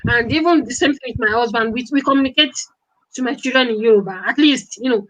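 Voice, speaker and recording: A woman's voice: a little high, fast talker, a bit bright, muffled recording. A woman chatting live as a guest writer, earnest and emphatic, often repeating her phrases. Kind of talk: casual live chat. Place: at home abroad.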